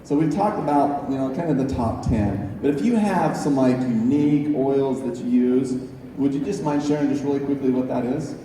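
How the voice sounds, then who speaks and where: A man's voice: mid-range voice, normal speed, warm tone. A man moderating a panel in a casual, conversational way before an audience. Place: large event hall with audience. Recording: very echoey hall, distant mic, noisy crowd.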